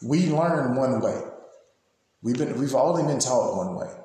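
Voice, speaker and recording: A man in his thirties hosting a casual interview, halting and repeating words as he builds his questions. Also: medium pitch, expressive, mellow. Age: 30s. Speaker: podcast host interviewing. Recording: very echoey room.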